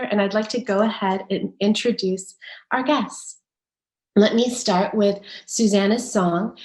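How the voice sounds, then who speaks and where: A woman's voice: mid-range voice, a little mellow. Woman moderating a panel, echoey sound. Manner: reads a prepared intro, then chats with guests. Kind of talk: moderating a video-call panel.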